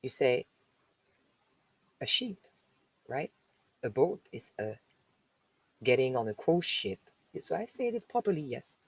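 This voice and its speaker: Slow French-accented woman reading aloud. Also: extremely low pitch, big pitch swings, breathy edge, no room sound, low-quality recording. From France. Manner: slow, emphatic reading broken by chatty asides. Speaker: spiritual live-stream host. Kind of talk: reading aloud with spoken commentary.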